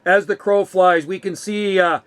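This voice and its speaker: A man in his early sixties with a notably high voice, recorded dry and close. He chats like a radio operator, friendly and loose, dropping in casual slang. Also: fairly even intonation, measured pace, fairly bright tone, no room sound. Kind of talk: casual two-way radio chat. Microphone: desk radio microphone, close.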